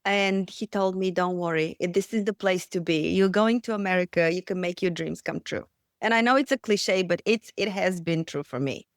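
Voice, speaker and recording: A woman's voice: fairly low pitch, normal speed, dry recording, clean studio sound. A middle-aged woman with a Bulgarian accent, telling her life story as a podcast guest in an earnest, reflective way. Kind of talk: storytelling interview.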